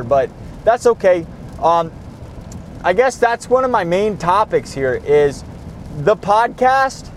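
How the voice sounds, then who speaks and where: A man's voice: high voice, a little hiss or hum. Tired, rambling young man. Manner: tired, profane, rambling with many restarts. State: tired and losing his voice. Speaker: podcast host. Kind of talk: rambling solo podcast while driving. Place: car on the road.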